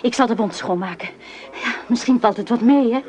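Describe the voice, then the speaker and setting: An actress playing an anxious but determined wife helping as a nurse in a Dutch wartime radio play, earnest and emotional. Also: female voice, on the high side, a little fast, a crowd in the background, lightly acted. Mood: gentle, earnest.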